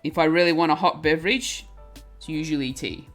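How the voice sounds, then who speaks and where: Young woman, low voice, easygoing chatty streamer who laughs a lot and trips over her words; faint music underneath. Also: brisk. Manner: chatty, giggly, repeats and restarts words. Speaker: craft streamer. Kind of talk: casual chat while crafting, reading chat.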